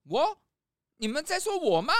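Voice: sits high, big pitch swings, moderate pace, over music. A voice actor playing a mild, evasive male hare handyman who acts innocent in a children's audio drama. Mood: feigned innocence. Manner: innocent-sounding denials.

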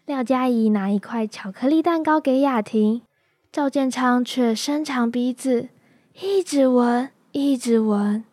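A young female high school student giving scripted story narration for a radio drama. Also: unhurried, a little mellow, no room sound.

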